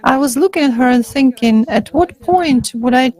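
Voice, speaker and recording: A woman with a slightly high voice interprets live in a conversational register. Her delivery comes in halting chunks, phrase by phrase. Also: darkish tone, rough recording. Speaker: interpreter voicing a forum speaker.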